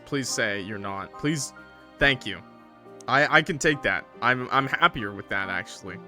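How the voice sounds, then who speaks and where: A man streaming a visual novel, switching between dramatic narration and animated, jokey, sweary commentary. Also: medium pitch.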